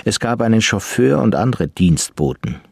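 Measured male narrator, dry close sound. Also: fairly low pitch, sing-song, vocal fry, slightly rough, no echo. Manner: measured, storytelling. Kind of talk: scripted narration.

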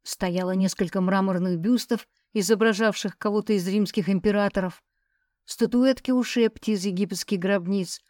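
A woman's voice: medium pitch, normal speed, a little mellow, no room sound, clean studio sound. A woman reading a first-person novel aloud as an audiobook narrator.

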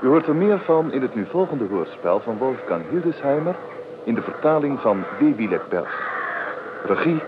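A man's voice: medium pitch, very animated, normal speed. A man formally announcing a radio play from a script. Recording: narrow, noisy old radio recording.

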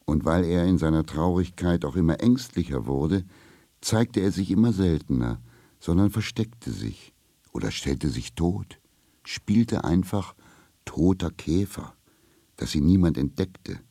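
A man narrating a children's radio play as a storyteller, with measured, deliberate phrasing. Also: low voice, expressive, warm tone, dry recording, clean studio sound, exaggerated voice. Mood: calm, storytelling. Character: male storyteller of a children's tale, unhurried.

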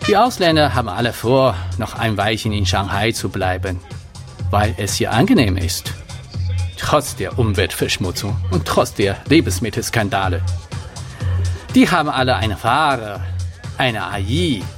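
A man's voice: fairly low pitch, lively intonation, normal speed, faint music behind, natural voice. A man playing a proud, confident Chinese manager in a documentary-style radio drama monologue. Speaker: business manager.

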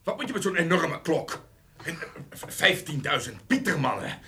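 An actor playing an exasperated, jealous husband, scolding sarcastically in a radio drama. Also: male voice, on the high side, sing-song, normal speed, sharp tone, slight background noise, full character voice.

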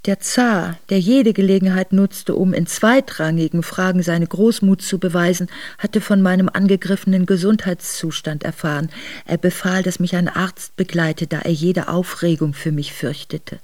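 A woman's voice: lowish voice, fairly even intonation, moderate pace, a little mellow, no echo, lightly acted. An actress in a radio drama playing a steadfast aristocratic wife who recounts her memoirs in composed, formal narration. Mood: composed, sorrowful. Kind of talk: literary first-person narration in a radio drama. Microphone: close dry studio microphone.

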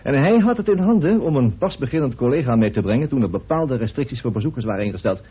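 A grim, bitter man in a radio play. His voice is very rough and husky, his delivery emphatic and rhetorical. The old recording is narrow and muffled. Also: medium pitch, lively intonation, quick pace. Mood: bitter, accusing. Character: grim, indignant man bitterly revealing a hidden truth.

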